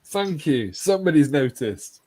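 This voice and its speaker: A man's voice: a little high, sing-song, unhurried, dark tone, a little hiss or hum. A man in his forties hosting a casual live-stream chat, reading out messages from viewers and laughing along with the jokes. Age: 40s. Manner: banters and reads chat messages aloud, laughing often. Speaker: host.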